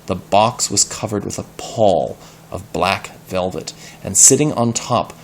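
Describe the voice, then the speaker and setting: A man reading a story aloud expressively, pausing to explain words and ask questions in a patient, teacherly way. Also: fairly low pitch, vocal fry, a little hiss or hum.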